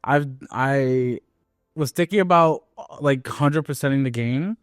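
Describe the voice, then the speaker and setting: A man's voice: mid-range voice, measured pace, clean tone, dry recording, studio quality, soft background music. A man co-hosting a podcast, talking in an easygoing, hesitant way with many fillers and restarts. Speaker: podcast co-host. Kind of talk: casual chat.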